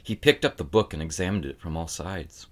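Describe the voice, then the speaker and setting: A man reading a children's fantasy novel aloud as scripted narration, with dramatic, deliberate phrasing. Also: low-pitched. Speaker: audiobook reader.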